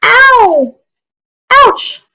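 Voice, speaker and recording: Woman voicing a squeaky timid little storybook mouse. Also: big pitch swings, extremely slow, vocal fry, bright, forceful tone, heavily acted. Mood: small, pleading. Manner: squeaky, polite and hesitant. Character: tiny meek timid brown male mouse, wishing to be brave. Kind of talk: children's picture book read-aloud.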